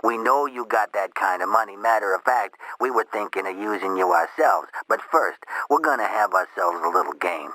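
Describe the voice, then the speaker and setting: Bright, menacing street-crook kidnapper. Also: male voice, fairly low pitch, expressive, no echo, low-quality recording. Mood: taunting menace. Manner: slangy, threatening, drawn-out taunting. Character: menacing, taunting street-crook kidnapper making ransom demands. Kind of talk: video game voice acting.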